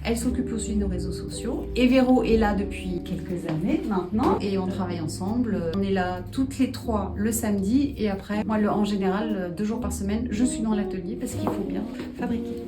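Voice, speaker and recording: A middle-aged woman with a mid-pitched voice presents her small boutique in a friendly, chatty way, pausing on fillers as she goes. Acoustic guitar music plays clearly under her voice. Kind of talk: promotional shop presentation.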